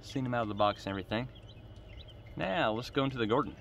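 A man's voice: medium pitch, quick pace, vocal fry, fairly bright tone. A man giving an informal, folksy farm tour as a farmer, speaking in a matter-of-fact way into a handheld phone. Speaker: farmer and vlogger. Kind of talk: casual farm tour vlog. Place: outdoors on a farm, windy. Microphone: handheld phone, glove over microphone against wind. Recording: windy outdoor handheld phone recording.

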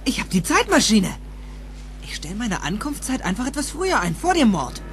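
A man's voice: very high voice, huge pitch swings, fairly quick, slight background noise, exaggerated voice. An actor playing a nervous, earnest teenage boy in an audio drama, hesitant and urgent. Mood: hurried, determined. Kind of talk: German film audio drama. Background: music.

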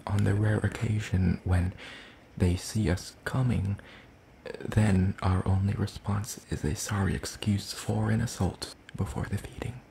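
Gentle, curious demon played by a man for ASMR roleplay: low, mellow, soft-spoken, hesitant and courtly in phrasing. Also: vocal fry, slight background noise. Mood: calm, confiding. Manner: hesitant, formal, slow and deliberate. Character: gentle, curious, one-eyed soul-leeching demon creature.

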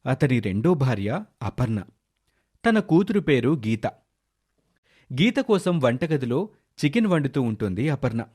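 A man's voice: medium pitch, sing-song, unhurried, pauses often, a little mellow, husky. A man narrating a scripted moral story as its storyteller.

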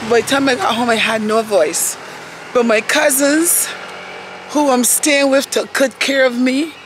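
Hoarse middle-aged woman chatting into her phone outside, slightly high voice, traffic going by. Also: a little slow. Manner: chatty, talks straight to camera. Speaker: vlogger. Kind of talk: casual travel vlog. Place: outdoors on a city street and in a park. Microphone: phone held at arm's length. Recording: street and traffic noise behind.

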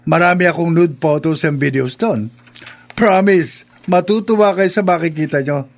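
An actor plays a shameless young man, proud of his looks, in a Filipino radio drama. His voice sits fairly high for a man and his delivery is teasing, boastful and playful. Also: moderate pace, close to an everyday voice. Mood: brazen.

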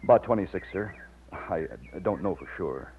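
An actor playing a loyal, plainspoken young American aide from Texas, folksy and deferential, in an old-time radio drama. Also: male voice, low voice, lively intonation, moderate pace, phone-quality sound, a little hiss or hum. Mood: respectful, earnest. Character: loyal, plainspoken young Texan aide recounting his past. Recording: band-limited old radio recording.

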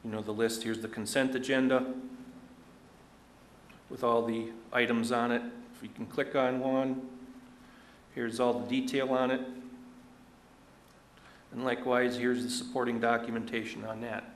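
A man in a roomy chamber, his voice carrying some echo over a faint background hum. He walks through the material step by step in a plain way, tossing in casual asides. His pitch stays fairly even and flat throughout. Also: moderate pace, pauses often. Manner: plain, step-by-step walkthrough with "you know" asides. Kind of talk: walking through an online agenda at a public meeting. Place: council meeting room.

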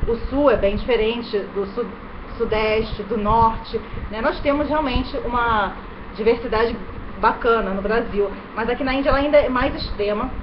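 A woman in her thirties with a Rio de Janeiro accent, chatting casually to her webcam in a bedroom, often tagging her sentences with quick questions. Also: on the high side. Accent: Rio de Janeiro Brazilian Portuguese. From Brazil. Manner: chatty, tag questions, gesturing hands. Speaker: vlogger. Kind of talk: casual chat to camera. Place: bedroom indoors in India. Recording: dull webcam audio with fan noise. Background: electric fan running.

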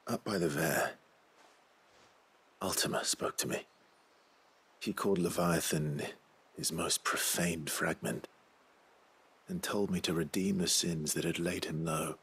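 An actor playing a grim, resolute fantasy game hero, low and gravelly, speaking in a brooding, measured way. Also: male voice, fairly even intonation, a bit bright, exaggerated voice. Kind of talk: dramatic video game acting.

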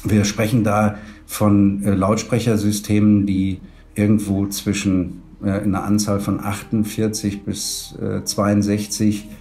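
A man's voice: fairly low pitch, monotone, a little slow, warm tone, faint music behind. A middle-aged man explaining in an interview, hesitant and searching for words. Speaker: 3D audio producer.

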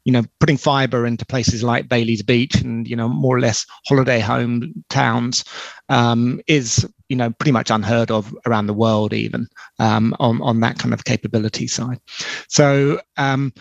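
British man, gravelly, on a video call. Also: medium pitch, fairly even intonation, no room sound. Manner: measured, explanatory, frequent ums and restarts. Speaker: telecom company spokesperson. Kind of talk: explanatory interview answers. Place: small indoor room on a video call. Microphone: headset. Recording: dull lo-fi video call audio.

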